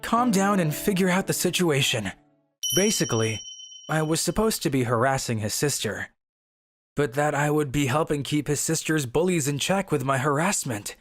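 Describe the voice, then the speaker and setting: A woman's voice: deep voice, expressive, moderate pace. A woman voicing a scheming, cowardly teenage schoolboy in a manga dub, boastful one moment and stammering excuses the next. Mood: puzzled. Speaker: voice actor. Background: background music.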